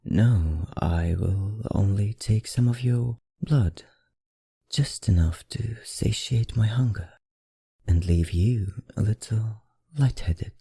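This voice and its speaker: A performer voicing a seductive, teasing vampire librarian in an ASMR roleplay, with a put-on foreign accent, breathy and husky, often whispering, in slow, soothing, formal speech. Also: mid-range voice, dark tone, dry recording, studio quality, heavily acted. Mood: reassuring.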